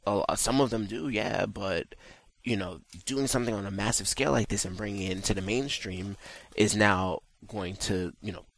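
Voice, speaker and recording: A man's voice: lowish voice, moderate pace, vocal fry, a bit bright. A man co-hosting a casual gaming podcast, laid-back and hesitant, with plenty of uhs and casual swearing. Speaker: podcast co-host.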